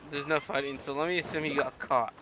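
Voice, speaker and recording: Low-voiced woman streamer, dry. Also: steady tone, fast talker, background noise. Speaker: game streamer. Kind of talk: reading game dialogue aloud on a livestream. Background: game music.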